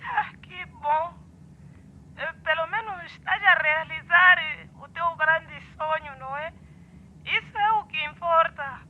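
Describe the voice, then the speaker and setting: A woman's voice: very high-pitched, measured pace. A woman playing a middle-aged Mozambican mother in a radio drama, fussing affectionately over her grown son through a telephone filter. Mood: tender. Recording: muffled phone call with faint noise.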